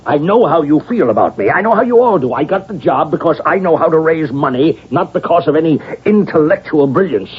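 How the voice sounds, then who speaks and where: Blustering university president, muffled old radio. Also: male voice, a little high, expressive, breathy edge. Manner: halting, repetitive, exasperated. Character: blustering, pragmatic male university president, wheedling boss. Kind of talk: vintage-style radio drama acting. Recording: dull, noisy old radio broadcast recording.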